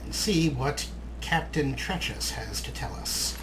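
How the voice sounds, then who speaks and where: A man's voice: mid-range voice, expressive, a little slow, noisy background. A man giving theatrical, dramatic gameplay commentary as he hosts a let's play.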